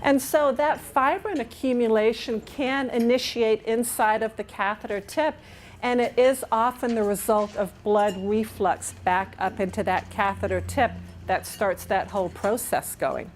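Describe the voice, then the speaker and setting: A middle-aged woman from England, with no accent left, giving a lively, chatty clinical talk to a room of nurses. Her voice sits a bit high, and she keeps turning to the audience for agreement. Faint room ambience sits behind her, picked up from a camera across the room. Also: measured pace, slight background noise. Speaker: nurse educator. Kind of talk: conference presentation. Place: dining room with large windows.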